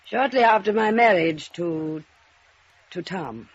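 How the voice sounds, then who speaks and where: A woman's voice: lowish voice, expressive, takes it slowly, slightly rough, full character voice. A woman acting an anxious, refined, mature lady in a 1950s radio melodrama, speaking hesitantly. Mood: shaken. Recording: dull, muffled old radio recording.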